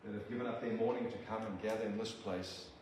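An older man with a South African accent, a visiting preacher giving an earnest sermon and prayer with halting restarts. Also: lowish voice, steady tone. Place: church. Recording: very echoey church from a distant mic with room noise.

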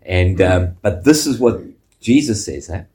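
A man with a South African accent preaching a sermon as pastor, measured, often ending points with a questioning "eh?". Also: deep voice, expressive, mellow.

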